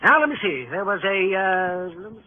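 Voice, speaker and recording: An actor playing a jovial, florid-tongued carnival promoter in a 1950s radio crime drama, with an American accent and a wordy, theatrical manner. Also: male voice, sits high, very animated, normal speed. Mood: musing. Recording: muffled vintage radio with faint noise.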